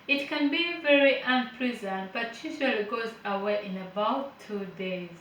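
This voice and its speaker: Woman with a high voice in an echoey room. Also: moderate pace, a bit bright, a little hiss or hum. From Uganda. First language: not English; Finnish is her third language. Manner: hesitant with fillers, laughs lightly, chatty asides. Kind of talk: informal health lesson, reading and explaining. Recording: echoey room, voice a bit far from the mic.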